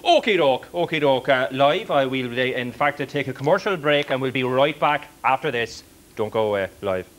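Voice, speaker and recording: A man's voice: medium pitch, expressive, normal speed, fairly bright tone. A man hosting a mock sports studio panel in a chirpy way, saying "okie doke" over and over. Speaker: comedy studio host.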